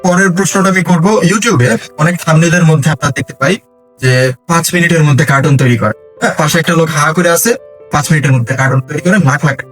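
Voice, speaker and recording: A man's voice: fairly high pitch, racing, fairly bright tone, faint music behind. A Bangladeshi man casually putting questions to a guest as interview host.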